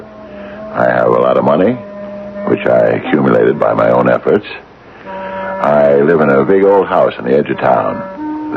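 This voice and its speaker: An actor playing an anxious, hesitant retired businessman of nearly sixty in a radio drama, with a deep, gravelly voice. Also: full character voice. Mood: plain, reflective. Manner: hesitant, halting, stammering. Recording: dull, noisy vintage radio recording.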